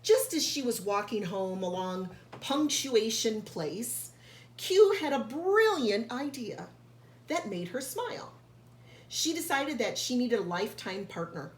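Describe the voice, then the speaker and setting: A woman reading a picture book aloud to young children like a teacher, pausing to explain and carefully sounding out key words. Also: on the high side, big pitch swings. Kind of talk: read-aloud storytelling with explanatory asides. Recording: echoey room, faint background noise.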